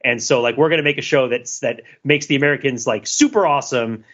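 A man's voice: medium pitch, quick pace, a bit bright. A man co-hosting a podcast, thinking aloud in a casual analytical chat, full of fillers and restarts. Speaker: podcast co-host. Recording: dull lo-fi podcast audio.

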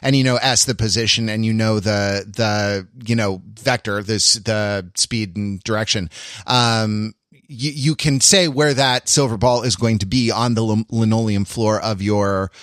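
A man co-hosting a podcast, explaining casually in a halting, conversational way that tends to trail off. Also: lowish voice, fairly even intonation, fairly bright tone, dry recording, clean studio sound. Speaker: podcast co-host.

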